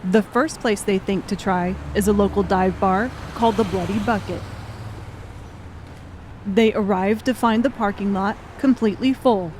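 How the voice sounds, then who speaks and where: A woman's voice: medium pitch, steady tone, moderate pace, darkish tone. Female narrator for scripted audiobook story, middle register, light background noise.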